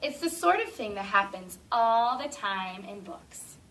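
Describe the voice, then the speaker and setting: A woman's voice: mid-range voice, animated, unhurried, fairly bright tone, reverberant. A woman teacher voicing a self-assured king from a picture book, explaining matters in a matter-of-fact way. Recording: distant classroom camera with faint noise.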